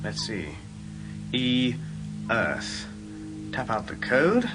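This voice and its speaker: A man's voice: medium pitch, wildly swooping pitch, very slow, loud music behind the voice, heavily acted, poor audio. A man playing a bewildered, indignant ordinary Englishman in a radio comedy, with an English accent. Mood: curious. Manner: indignant, incredulous. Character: exasperated, bewildered ordinary Englishman lost in space.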